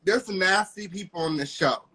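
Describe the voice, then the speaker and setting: A man's voice: sits high, normal speed, bright, forceful tone, slightly rough. A man hosting a casual, heated discussion, talking in a sassy, emphatic and challenging way. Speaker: host of a discussion show.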